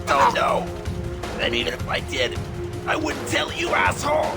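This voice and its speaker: A man's voice: high-pitched, huge pitch swings, moderate pace, vocal fry, over loud music, full character voice. An actor playing a male henchman under brutal interrogation in a video game, gasping and spitting his words out. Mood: defiant, pained. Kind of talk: video game cutscene acting.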